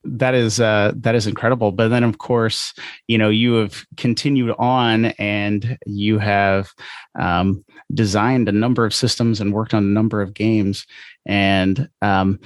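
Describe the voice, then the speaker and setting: A man's voice: fairly low pitch, level tone, unhurried, no echo. A middle-aged man with an American accent, hosting a casual podcast interview with meandering, hesitant questions. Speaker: podcast host.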